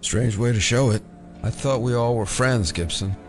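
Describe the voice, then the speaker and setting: An actor playing a wry, exasperated federal agent in an audio drama, with dry sarcasm. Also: male voice, mid-range voice, normal speed, soft background music, close to an everyday voice. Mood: wary, puzzled.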